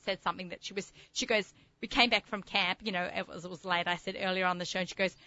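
A woman's voice: on the low side, fairly even intonation, fast talker, sharp tone, lo-fi sound. A middle-aged woman with an Australian accent, hosting a food show in a chatty, enthusiastic, rambling way. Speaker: host of a food talk show. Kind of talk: casual chatty radio interview. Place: studio.

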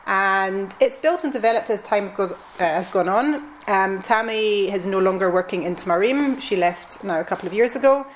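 A woman's voice: mid-range voice, normal speed, few pauses, a little hiss or hum. Glaswegian woman, chatty interview guest. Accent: Glaswegian Scottish. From Scotland. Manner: chatty, friendly, with frequent um and uh restarts. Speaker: guest, concierge company owner. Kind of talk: conversational interview.

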